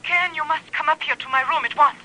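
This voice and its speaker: A woman's voice: sits high, brisk, bright, forceful tone. An actress playing an anxious, urgent woman on the telephone in a 1950s radio drama. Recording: old radio, slight hiss.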